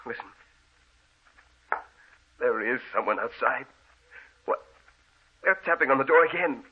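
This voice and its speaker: Bedridden lovelorn man, feverish, insistent, husky, melodramatic radio horror, thin band-limited old recording. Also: medium pitch, animated, a little slow, lo-fi sound. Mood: tense, expectant. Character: distraught bedridden man obsessed with his dead beloved. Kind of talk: old-time radio horror drama acting.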